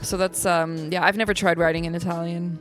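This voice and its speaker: A woman's voice: on the low side, flat delivery, a little fast, soft background music. A young American woman, a singer-songwriter, chatting thoughtfully and agreeably as an interview guest. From United States. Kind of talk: casual interview chat.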